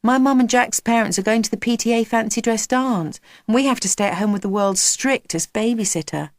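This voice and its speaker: An earnest young schoolgirl in a storybook read by a woman. She is a little gloomy and grumbling, her voice often raised in pitch, in a dry recording. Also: brisk, dry recording, natural voice. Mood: earnest, concerned.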